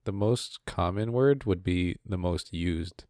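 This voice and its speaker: A young woman with an unusually deep voice chats on a podcast in a calm, wry way. The recording is dry and close, with no room sound. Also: slow pace, no room sound. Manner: laid-back, dry, wry remarks. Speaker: podcast co-host. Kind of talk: casual chat.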